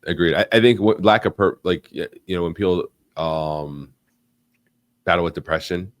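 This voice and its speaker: A man's voice: low-pitched, normal speed, no echo. A young American man hosting a live online interview, talking casually with lots of fillers and restarts. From United States. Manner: animated, rambling, frequent fillers and restarts. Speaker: livestream host.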